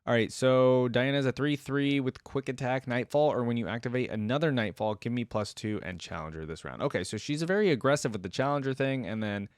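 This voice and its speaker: A man's voice: mid-range voice, fairly quick, hardly any pauses, smooth, dry recording, clean studio sound. A man hosting a casual reaction video, informal and thinking aloud as he comments.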